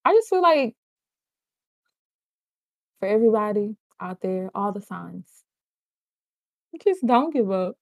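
A woman's voice: medium pitch, big pitch swings, slow pace, pauses often, no echo. A woman co-hosting a podcast, ranting and joking in casual, profane banter. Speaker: podcast co-host.

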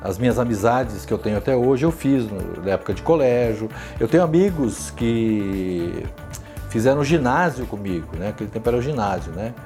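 A man in his sixties with a São Paulo accent, recalling his working life in an interview, talking slowly with many restarts and tag questions. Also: mid-range voice, lively intonation, warm tone, soft background music. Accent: São Paulo Portuguese. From São Paulo, Brazil. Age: 60s. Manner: unhurried, hesitant, frequent tag questions and restarts. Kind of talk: reflective life-story interview.

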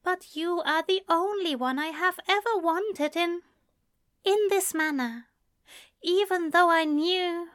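A woman voicing a gentle, prim male angel in love, speaking softly and carefully with a plaintive, formal manner. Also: very high voice, slow, no room sound, clean studio sound, pitched above the performer's own voice, full character voice. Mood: soft, wistful.

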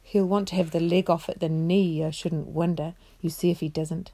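A woman reading the part of a gloomy male pessimist in a glum, low-key way. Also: fairly even intonation, drawn-out words, warm tone. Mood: gloomy contentment. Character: gloomy male pessimist, quietly contented in misery.

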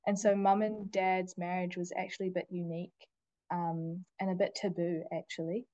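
A woman who is a teacher, a guest on a podcast, speaking casually and thoughtfully with many pauses and fillers. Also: fairly low pitch, level tone, mellow, no room sound, muffled recording. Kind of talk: casual interview chat.

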